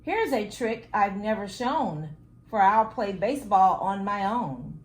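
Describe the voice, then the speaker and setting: Woman as a boastful cartoonish hare, slow and showy. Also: mid-range voice, expressive, roomy sound. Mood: showing off. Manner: bragging, showy. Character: boastful, cocky young male hare racer.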